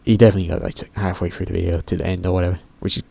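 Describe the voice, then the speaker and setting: A teenage boy with a very deep voice, rambling casually to camera in a vlog, often stopping himself mid-sentence and swearing offhandedly. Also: little pitch movement, rapid-fire, creaky, warm tone. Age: teens. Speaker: YouTuber.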